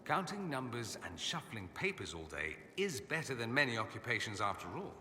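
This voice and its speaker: A very bright, crisp-edged male voice playing a witty, self-assured noble mage in a fantasy game. His delivery is suave, theatrical and sardonic, swooping with lively pitch. It rings in an echoey hall over faint ambient noise. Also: a little fast. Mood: wry, explanatory. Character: urbane, witty, self-assured foreign aristocrat mage, adult man. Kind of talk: video game dialogue acting.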